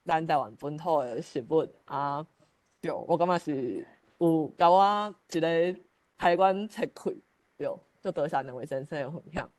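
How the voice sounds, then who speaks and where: A man's voice: a little high, measured pace, a little mellow, no echo. A man with a Taiwanese accent hosting an online forum and sharing personal thoughts in a reflective, conversational manner. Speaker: forum host. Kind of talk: casual personal sharing while moderating. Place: online meeting.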